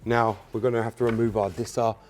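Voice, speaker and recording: Low-voiced man, Spanish native speaker. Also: little pitch movement, quick pace, noisy background. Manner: casual, repeats filler words like "um" and "obviously"; addresses viewers directly as "you guys" while working. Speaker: car mechanic channel host. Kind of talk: hands-on repair tutorial.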